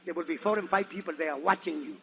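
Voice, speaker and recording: A man's voice: a little high, fast talker, breathy edge. A man from Nicaragua with a Spanish accent, performing a theatrical comedy monologue on a muffled, hissy 1940s radio recording. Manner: theatrical, animated, exclamatory. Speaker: guest comedian and entertainer on a radio show.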